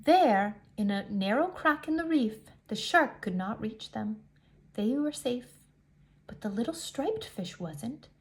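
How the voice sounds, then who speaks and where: A woman reading a picture book aloud to young children in an expressive way, with deliberate dramatic emphasis. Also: on the high side.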